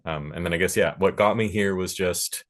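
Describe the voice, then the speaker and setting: A man's voice: sits low, moderate pace, fairly bright tone. A man speaking casually as a panelist about his working life as a sound engineer and teacher. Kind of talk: casual panel talk about own career.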